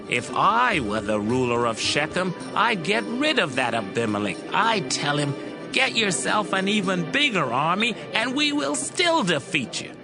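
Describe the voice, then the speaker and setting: A scornful, boastful rebel leader in a dramatized audio Bible. His gravelly voice swings widely in pitch and breaks into excited shouts. Music plays beneath him, and he sounds a little distant. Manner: brash, rising to excited shouts. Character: boastful rebellious man, Gaal, scornful upstart.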